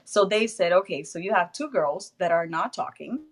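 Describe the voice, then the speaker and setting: A woman's voice: fairly low pitch, animated, moderate pace. A woman whose first language is Spanish, with a Spanish accent, talking casually as an interview guest, laughing often and restarting phrases as she searches for English words.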